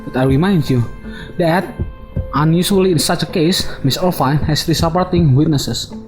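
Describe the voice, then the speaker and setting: Radio drama role: procedural male panel member played by a man, patient and formal, lighter pitch, faint music behind. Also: normal speed, lightly acted. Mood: patient, firm.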